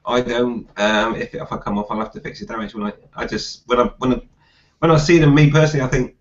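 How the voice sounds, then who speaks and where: A man's voice: mid-range voice, fairly even intonation. A man hosting a casual live group chat, bantering and reading out comments in a jokey way. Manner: jokey, quick to laugh. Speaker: host of a live group hangout. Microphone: group video call. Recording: dull lo-fi online call audio.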